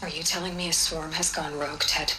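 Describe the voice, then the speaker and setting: An actress playing a blunt, no-nonsense scientist in a game cutscene, talking in a cutting, direct way. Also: female voice, low-pitched, flat delivery, brisk, sharp tone, slight background noise, poor audio.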